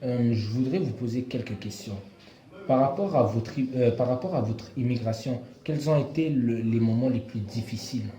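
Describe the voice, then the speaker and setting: Guy asking polite interview questions, kinda hesitant, mellow tone, roomy echo. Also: mid-range voice, normal speed. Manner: formal, hesitant with fillers. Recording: echoey room.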